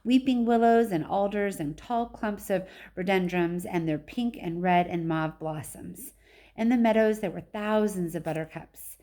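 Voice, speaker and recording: Expressive woman reading a children's story. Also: medium pitch, moderate pace. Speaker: read-aloud storyteller, teacher.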